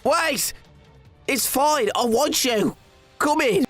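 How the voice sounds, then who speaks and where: A man voicing a brash, remorseless killer in a cartoon, exaggerated and excitable. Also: very high-pitched, takes it slowly, faint music behind, full character voice. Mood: eager. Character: brash, remorseless male killer, later begging victim.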